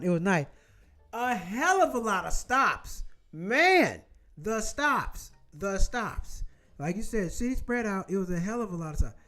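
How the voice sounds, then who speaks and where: Rambling, salty host from Florida. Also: mid-range voice. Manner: rambling, salty, talks in quick asides. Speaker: show host. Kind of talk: casual chat with viewers.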